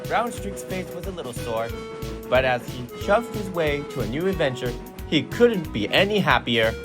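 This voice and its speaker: Animated male storybook narrator, high-pitched. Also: normal speed. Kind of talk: scripted children's storybook narration. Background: music.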